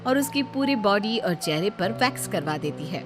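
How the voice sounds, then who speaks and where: कहानी सुनाती महिला, पीछे हल्का संगीत. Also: female voice, medium pitch, lively intonation, moderate pace, over music. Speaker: story narrator. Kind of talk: scripted narration of a moral story.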